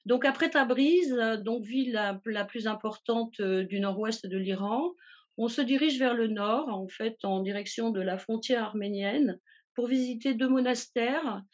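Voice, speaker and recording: A woman's voice: on the high side, measured pace, muffled recording. A woman from a travel agency presenting a tour itinerary from slides, hesitating often with fillers and restarts.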